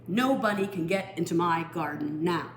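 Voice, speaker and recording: A woman's voice: lowish voice, normal speed, roomy sound, slight background noise, close to an everyday voice. A woman voicing a determined, grumbling male gardener in a picture book, speaking in emphatic declarations. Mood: defiant. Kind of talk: picture-book read-aloud character voice.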